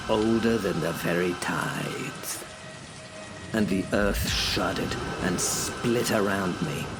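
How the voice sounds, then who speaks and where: A man narrating a horror audio drama in the first person, brooding and dramatic. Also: on the low side.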